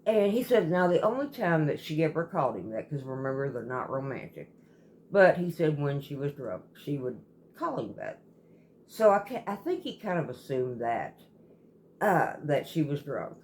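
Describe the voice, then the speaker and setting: A woman telling a story casually as a host, in a halting, rambling way that often circles back and repeats. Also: deep voice, sing-song, darkish tone, slight background noise. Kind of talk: casual storytelling, retelling a viewer's account.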